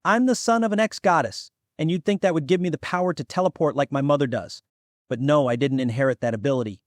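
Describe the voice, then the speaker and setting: A man's voice: fairly high pitch, quick pace, no echo, lightly acted. A man as an exasperated young demigod, dry sound. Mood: resentful. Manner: exasperated, blunt. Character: impatient, skeptical young demigod nephew. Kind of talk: fantasy audio drama acting.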